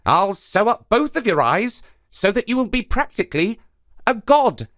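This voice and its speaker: High-pitched little trickster spider played by a male storyteller, wily, confident, persuasive, swooping intonation, children's folktale. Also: big pitch swings, normal speed, a bit bright, dry recording, clean studio sound, heavily acted. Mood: sly, eager.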